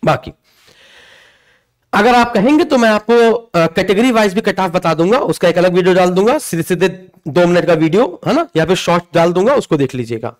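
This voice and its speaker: A man's voice: high voice, expressive, brisk. A man giving an informal, explanatory lesson to students as a coaching teacher, emphatic and repetitive, often checking in with tag questions. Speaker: exam coaching teacher presenting a video.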